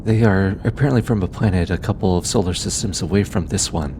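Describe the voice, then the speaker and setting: A man playing a gentle, earnest alien scientist in an intimate audio drama, bookish and easily flustered, with a hesitant, softly stammering delivery. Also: quick pace, close to an everyday voice. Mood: earnest, explanatory. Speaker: voice actor. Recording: steady low rumbling background noise.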